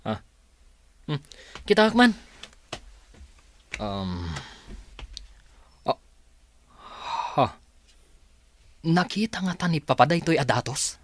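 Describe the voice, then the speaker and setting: An actor playing a casual, teasing office supervisor in a radio drama. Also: male voice, a little high, big pitch swings, slow pace, long pauses, fairly bright tone, pitched above the performer's own voice, heavily acted. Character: easygoing male office supervisor.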